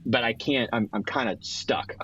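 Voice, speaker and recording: A man's voice: mid-range voice, moderate pace, fairly bright tone, slight background noise. Slightly dull recording. Male tabletop player, middle register, loose conversational storytelling. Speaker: guest player in tabletop game. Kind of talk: casual improvised storytelling.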